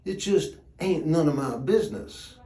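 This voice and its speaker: An actor playing a wry, plainspoken rural gravedigger, telling a yarn in a drawling folksy dialect with dramatic pauses. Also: male voice, on the high side, lively intonation, warm tone. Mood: wry, uneasy. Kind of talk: theatrical storytelling monologue. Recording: echoey room.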